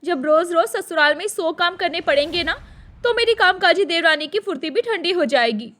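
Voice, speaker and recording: A woman's voice: very high voice, expressive, normal speed, bright, forceful tone, heavily acted. A woman playing a lazy, sardonic elder sister-in-law in a family story, teasing and theatrical.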